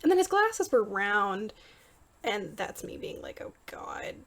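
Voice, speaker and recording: A woman's voice: medium pitch, very animated, unhurried. A woman giving casual, informal behind-the-scenes commentary on her drawing, with a self-deprecating manner. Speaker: portrait artist and voice actor for a fan manga dub.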